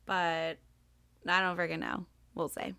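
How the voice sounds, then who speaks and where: A young woman co-hosting a casual podcast, talking in a rambling way with lots of fillers and a slightly raspy voice. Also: fairly low pitch, level tone, unhurried, sharp tone, no echo. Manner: rambling, many fillers, laughs often. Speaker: podcast co-host. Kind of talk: casual chat.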